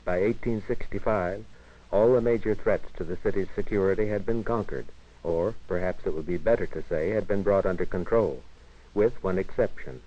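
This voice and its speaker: An American man narrating a 1950s educational film in a measured, formal, literary manner. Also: low voice, mellow. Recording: dull 1958 film soundtrack, radio-like.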